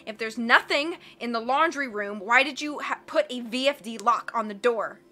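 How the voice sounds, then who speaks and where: A woman's voice: fairly high pitch, moderate pace, pushed and bright, faint music behind. A woman voicing a blustering, greedy villain who growls, sneers and roars at everyone. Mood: snarling. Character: greedy, blustering villain man, middle-aged. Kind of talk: audiobook character voice.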